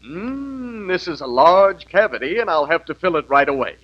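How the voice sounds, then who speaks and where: An actor playing a smooth, pompous, deadpan male dentist in a 1939 radio comedy. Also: on the high side, moderate pace. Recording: dull old radio broadcast.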